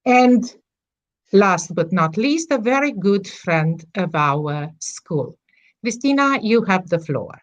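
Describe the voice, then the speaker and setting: A woman moderating an online conference panel who introduces the first speaker in a hesitant way, correcting herself and filling pauses with "uh". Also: medium pitch, very animated, dull audio. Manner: hesitant, self-correcting, with fillers.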